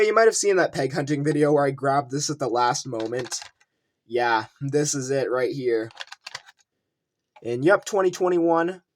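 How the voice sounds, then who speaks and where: Casual unboxing host, medium pitch, rambling, thinking aloud, faint background noise with clicks. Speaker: toy car collector and unboxing video host. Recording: noisy room with clicking handling sounds.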